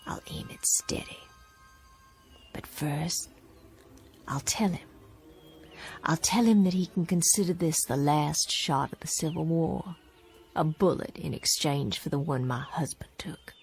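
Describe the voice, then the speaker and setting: Low-voiced, vengeful Southern widow. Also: female voice, a little mellow. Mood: brooding, bitter. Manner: brooding, deliberate, menacing. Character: bitter, vengeful Southern war widow, coldly resolute. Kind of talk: dramatic radio play acting. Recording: studio radio drama with music underneath.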